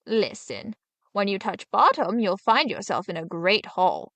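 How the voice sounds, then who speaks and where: A woman's voice: medium pitch, very animated, measured pace, fairly bright tone, no echo, full character voice. A woman voicing a sly, bossy old witch in a storybook, coaxing and instructing, curt at times.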